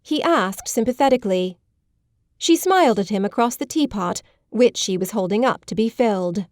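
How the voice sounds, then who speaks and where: A woman reads scripted literary narration in a mid-pitched voice. Her storytelling is measured and polished, recorded very dry and close. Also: lively intonation, darkish tone, no echo. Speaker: audiobook narrator.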